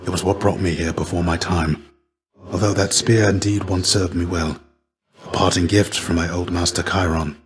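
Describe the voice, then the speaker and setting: Game role: male actor as a low-voiced veteran warrior turned mentor, measured, earnest, gently weary and formal. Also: flat delivery, close to an everyday voice. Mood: solemn, reflective. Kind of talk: scripted video game voice acting.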